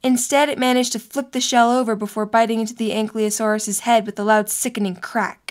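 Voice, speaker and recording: A woman's voice: on the high side, fairly even intonation, fairly quick. A woman narrating a scripted story for an audio drama.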